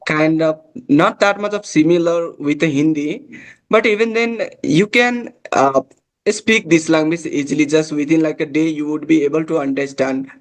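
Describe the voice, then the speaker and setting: A man from Nepal with a Nepali accent and native Nepali, chatting casually in halting, repetitive English. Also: a little high, rough recording. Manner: halting, repetitive, says "like" often. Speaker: English conversation practice host. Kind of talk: casual conversational chat.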